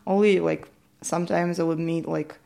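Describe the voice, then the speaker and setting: Teenage Ukrainian woman, gravelly voice. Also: on the low side, fairly even intonation, normal speed, mellow. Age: teens. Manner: hesitant, many fillers and restarts. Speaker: student interviewee. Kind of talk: casual interview answers.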